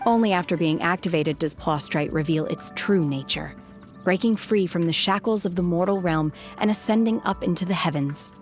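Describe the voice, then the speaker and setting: An actress plays an aloof young woman from a fantasy game. Her voice sits on the low side, composed and measured, with formal, detached phrasing. Soft music plays underneath. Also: natural voice. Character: aloof, calm young woman raised apart from mortals. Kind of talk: restrained game voice acting.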